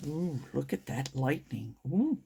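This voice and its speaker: A woman reading a children's picture book aloud in an expressive, drawn-out storytelling manner. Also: low voice, gravelly, a little hiss or hum. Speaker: storytime reader.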